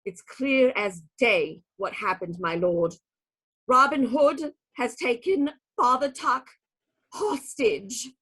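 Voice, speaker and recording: An actress playing an earnest nun, a church sister, in a radio play. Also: female voice, fairly high pitch, animated, takes it slowly, a bit bright, slightly rough, full character voice, rough recording. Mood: earnest, alarmed.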